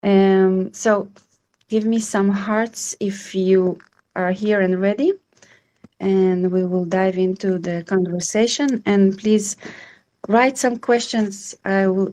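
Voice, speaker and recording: A woman's voice: medium pitch, warm tone. A woman in her thirties from Israel, hosting an online support group in a welcoming, reassuring way over a video call. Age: 30s.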